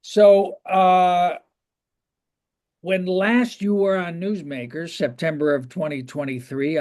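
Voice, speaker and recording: A man's voice: on the high side, a little mellow. A man hosting an interview show, talking conversationally with a wry, jokey manner.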